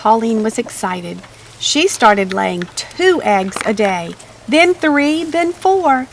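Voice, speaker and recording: A woman narrating a children's storybook aloud in an expressive, measured read-aloud style. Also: on the high side, slight background noise. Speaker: storybook reader.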